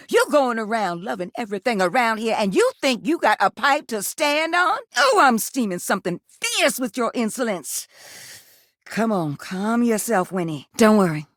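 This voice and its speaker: An actress playing a tender-hearted, proud household appliance come alive in a video game, with a folksy, candid manner. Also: female voice, on the low side, no room sound, clean studio sound. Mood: indignant, steaming, then hurt, reserved. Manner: folksy, affectionate, emotionally candid. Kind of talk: video game character acting.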